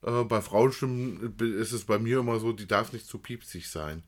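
A man's voice: lowish voice, normal speed. Casting: man, informal co-host who rambles and talks to the audience. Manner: rambling, addresses listeners directly. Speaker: podcast co-host. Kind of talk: casual chat about audio dramas.

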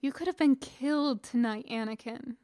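A woman voicing a calm, firm man who mentors a young apprentice, speaking in a measured way. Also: high-pitched, no room sound, studio quality. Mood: stern. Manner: measured, steady and stern.

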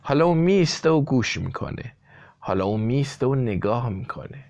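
A man's voice: mid-range voice, expressive, darkish tone, lightly acted. A man voicing a sly farmer who declaims a made-up storybook tale in short repeated phrases. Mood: suspenseful. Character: sly, dramatic male farmer improvising a tale.

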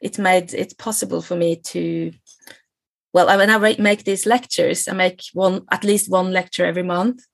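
A woman's voice: on the low side, steady tone, moderate pace, gravelly, no echo. A middle-aged woman from Norway with a Norwegian accent, speaking English as a guest lecturer in a casual interview, thoughtful and hesitant, often restarting and laughing. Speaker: guest, lecturer on Norse myth.

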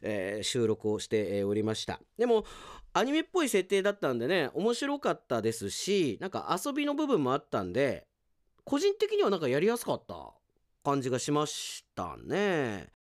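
A male announcer hosts solo radio talk and reads listener mail. His chatty delivery swings widely in pitch, recorded close and dry in a studio. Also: wildly swooping pitch, moderate pace, no echo.

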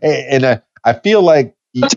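A man's voice: medium pitch, animated, normal speed, vocal fry, darkish tone, lo-fi sound, slight background noise. A man in his fifties hosting a casual podcast chat, easygoing and rambling, with frequent restarts. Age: 50s. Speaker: podcast host.

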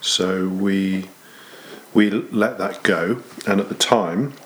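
A middle-aged Englishman with an English accent, relaxed in an interview chat. His voice is very deep, with faint noise in the background. He takes his time and laughs easily. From England. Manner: easygoing, laughs often, unhurried. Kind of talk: casual conversational interview.

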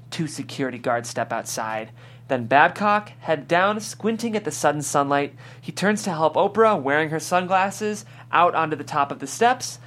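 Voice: mid-range voice, a bit bright. Narrator reading screenplay stage directions.

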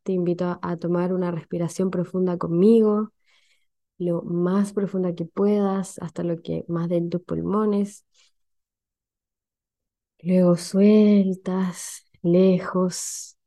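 Slow, gentle Chilean woman podcaster. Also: lowish voice. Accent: Chilean Spanish. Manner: slow, gentle and soothing, guides breathing. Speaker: podcast host. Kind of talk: calm reflective podcast monologue.

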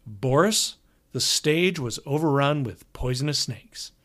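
A man voicing a calm, wry young rock musician with a dry manner. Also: medium pitch, a little slow.

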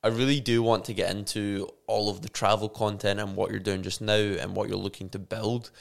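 Male host, fairly low gravelly voice, friendly and eager. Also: flat delivery, fairly quick. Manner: friendly, enthusiastic questioning with fillers. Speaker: podcast host. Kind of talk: casual interview hosting.

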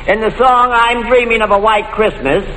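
Male radio quiz host, high lively voice, dry wisecracks, 1940s comedy style, noisy echoey hall with audience. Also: moderate pace. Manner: showy introductions, dry wisecracking asides. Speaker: comic quiz show host.

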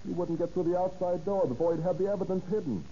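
Brazen young crook, highish voice. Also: male voice, steady tone, fast talker, warm tone, a little hiss or hum. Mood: wheedling, bargaining. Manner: slangy, argumentative tough talk. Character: young male small-time crook and drug peddler, brazen and wheedling. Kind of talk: 1930s radio crime drama acting. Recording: muffled old radio recording.

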